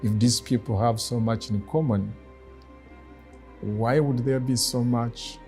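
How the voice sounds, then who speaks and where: A middle-aged man with a Rwandan accent, a native Kinyarwanda speaker, talking reflectively in a deliberate, measured way with pauses. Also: mid-range voice, steady tone, darkish tone, faint music behind. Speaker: guest speaker, former ambassador. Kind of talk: reflective personal talk.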